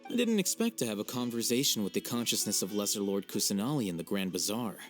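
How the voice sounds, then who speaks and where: Guy doing a brainy detached young man, lowish voice w lively intonation, game cutscene. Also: fast, faint music behind, natural voice. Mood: intrigued.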